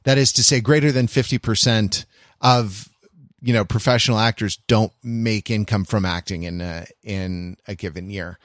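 A man co-hosting a podcast, explaining his thoughts in a halting way with many restarts. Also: fairly low pitch, fairly even intonation, fairly bright tone, no echo, clean studio sound. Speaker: podcast co-host. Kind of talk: casual chat.

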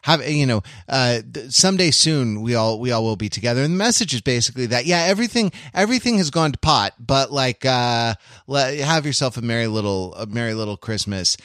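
A man with a mid-pitched voice, recorded close and dry with no room sound. He hosts a casual comedy podcast, and his talk is rambling and wry, wandering off on tangents. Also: animated, a little fast, hardly any pauses, fairly bright tone, no room sound. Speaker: podcast host.

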